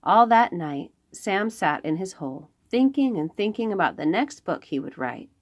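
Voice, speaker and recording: A woman reading aloud to young children as a storytime host, expressive, with dramatic emphasis and pauses. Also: lowish voice.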